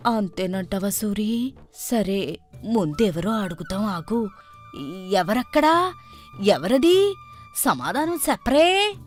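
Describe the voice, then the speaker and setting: A woman's voice: medium pitch, sing-song, slow, long pauses, faint music behind. A woman voicing a bossy, sceptical older village servant with colloquial rustic phrasing in a story. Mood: wary, calling out.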